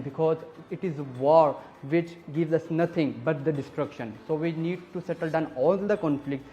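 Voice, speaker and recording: A man's voice: on the high side, mellow, muffled recording. Earnest man urging peace. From Pakistan. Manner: earnest, emphatic, lists items in rhythm. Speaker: executive director of a peace organization. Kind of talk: advocacy interview speech.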